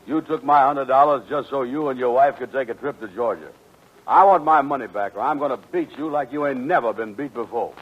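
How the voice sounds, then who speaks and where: A man plays a slow-witted, blustering dupe in a 1940s radio comedy. He talks bluntly in folksy dialect with a husky voice. The sound is dull and dated, like an old broadcast. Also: mid-range voice, lightly acted. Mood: indignant.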